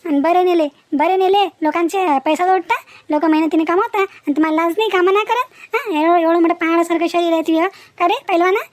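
A woman voicing a loud, talkative, feisty village housewife in a comedy cartoon, exclaiming and repeating herself in Varhadi Marathi. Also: very high voice, steady tone, a little fast, dry recording, clean studio sound. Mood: indignant scolding. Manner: chatty, repetitive, exclaiming.

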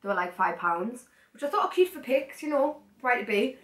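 A young woman sitting on her living room floor, chatting excitedly to a camera set some distance away and rambling off into asides. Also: a little high, brisk, a little hiss or hum. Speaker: vlogger. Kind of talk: casual haul chat to camera. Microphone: far camera. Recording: echoey room, mic at a distance.

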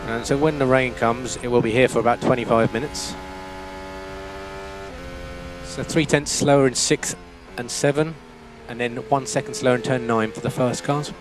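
A man's voice: medium pitch, fairly even intonation, moderate pace, over music. A man working as a race engineer, giving terse, matter-of-fact instructions over team radio and repeating key phrases. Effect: team radio transmission.

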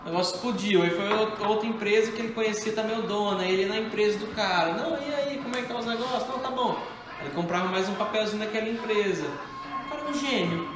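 High-voiced man telling stories in an echoey kitchen. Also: fast talker. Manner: animated storyteller, acts out dialogue. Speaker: host. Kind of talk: casual chat among friends. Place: home kitchen, indoors. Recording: echoey kitchen with music behind.

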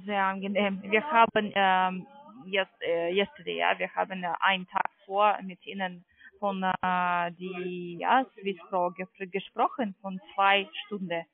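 Slow, high-voiced man with foreign accent. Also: flat delivery, vocal fry. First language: not German. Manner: halting, searching for words. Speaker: call centre sales agent. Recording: narrow phone-line sound.

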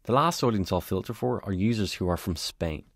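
Low-voiced man narrating a software walkthrough. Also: brisk, gravelly, no echo, studio quality. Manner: calm, step-by-step explanatory. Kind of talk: scripted screencast narration. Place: voice-over over screen recording.

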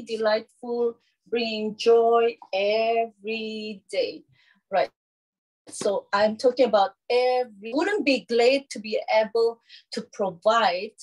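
A woman in her thirties presenting a live cooking demonstration from a home kitchen, in an instructional, halting way with frequent check-ins. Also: fairly high pitch, a little mellow, rough recording. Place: small home kitchen, indoors.

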